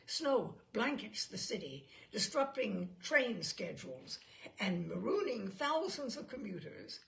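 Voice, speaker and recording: A woman's voice: a little high, creaky, full character voice. A woman voicing a pompous, fussy male expert witness in a humorous story, precise, proud and declamatory. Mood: boastful, emphatic. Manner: precise, self-satisfied, declamatory. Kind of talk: humorous short story read aloud.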